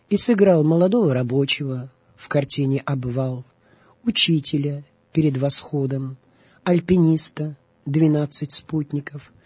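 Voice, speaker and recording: A woman's voice: low-pitched, darkish tone, slightly rough, no room sound. A woman reading a scripted documentary narration as the narrator of a radio programme. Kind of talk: scripted documentary narration about film and theatre.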